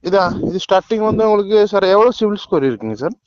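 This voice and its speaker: A man with a high voice speaks quickly as a loan agent on the phone. He keeps addressing the other person as sir. The recorded call sounds muffled and lo-fi. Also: lively intonation, no room sound. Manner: repeatedly addresses listener as sir. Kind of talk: phone sales pitch turning argumentative.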